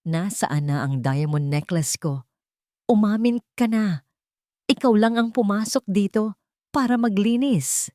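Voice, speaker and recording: A woman voicing a cruel, haughty rich woman with a shrill, accusing delivery in a dramatic audio story. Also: a little slow, pauses often, dark tone, no echo, clean studio sound, natural voice. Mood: accusing, furious. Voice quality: shrill, piercing. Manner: imperious, scornful, accusing.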